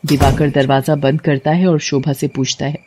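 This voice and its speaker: A woman's voice: deep voice, flat delivery, moderate pace, noisy background. A woman reading a scripted, dramatic story as its narrator.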